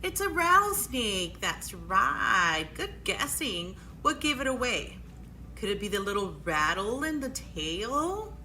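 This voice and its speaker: A woman's voice: medium pitch, very animated, slow, sharp tone. A woman hosting a storytime for young children, encouraging and exclamatory, prompting her listeners with questions during songs and guessing games. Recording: echoey room, faint background noise.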